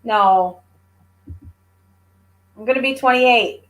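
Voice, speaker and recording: A woman's voice: on the high side, slow, a bit bright. A woman in her forties chatting casually, talking things through in a reflective, explanatory way. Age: 40s. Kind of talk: casual chat.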